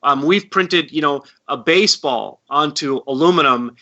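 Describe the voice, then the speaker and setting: A man's voice: a little high, normal speed, sharp tone, dull audio. A man speaking as a guest company expert, informally explaining things in an earnest, helpful way. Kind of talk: informal interview answers.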